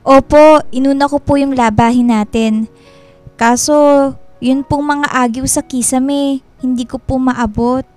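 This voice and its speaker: A woman's voice: high voice, fairly even intonation, unhurried, long pauses, darkish tone, faint music behind. An actress playing an obedient, timid schoolgirl daughter in a Filipino radio drama. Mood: meek, obedient.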